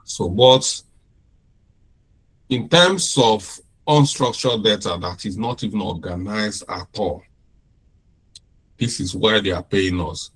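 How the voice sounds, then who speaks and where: A man with a Nigerian accent giving an informal classroom lecture, unhurried, often repeating and restarting his phrases. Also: on the low side, a bit bright, slightly rough, poor audio.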